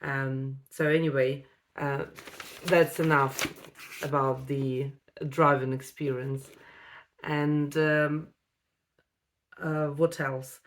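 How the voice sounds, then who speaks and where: A woman, an English speaker, telling a personal story to camera with many ums and pauses. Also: sits low, little pitch movement. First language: Russian. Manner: unhurried, many ums and pauses, non-native phrasing. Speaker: vlogger.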